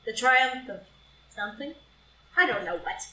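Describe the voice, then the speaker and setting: A woman's voice: on the high side, animated, a little slow, sharp tone. A woman acting a flippant, vain, playful young society lady in a group play reading, with an arch, breezy, teasing manner. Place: live in-person group reading in a room. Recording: roomy, distant live reading, noisy.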